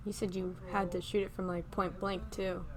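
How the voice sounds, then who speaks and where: A woman's voice: lowish voice, flat delivery, normal speed, a little mellow, natural voice. A woman playing a timid, unsure medical apprentice in an improvised zombie apocalypse game roleplay, hesitant and understated. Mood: uneasy.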